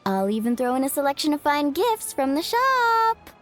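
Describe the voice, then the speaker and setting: A woman voicing a pushy, energetic young woman recruiting for her tribe in a video game. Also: high-pitched, soft background music. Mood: eager. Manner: eager, persuasive, quick to interrupt.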